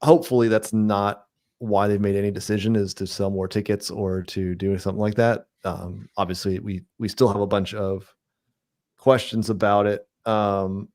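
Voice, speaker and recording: A man hosting a casual podcast chat, thinking out loud about an upcoming event. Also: fairly low pitch, level tone, moderate pace. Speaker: podcast host.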